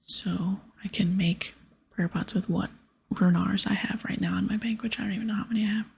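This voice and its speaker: A woman hosts a gaming session in a very breathy ASMR whisper. Her voice has a creaky edge and sits at an ordinary female pitch. She muses softly and casually as she plays, sometimes reading game text aloud. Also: steady tone, fairly quick. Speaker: host of an ASMR gaming series.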